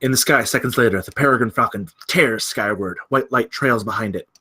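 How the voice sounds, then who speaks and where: A man's voice: medium pitch, brisk, bright, forceful tone, breathy edge. A man narrating scripted stage directions at a film table read.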